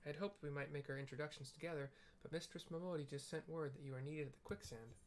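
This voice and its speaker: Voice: medium pitch, fast talker, faint music behind, close to an everyday voice. A player reading the lines of an earnest young woman leader aloud in formal game dialogue.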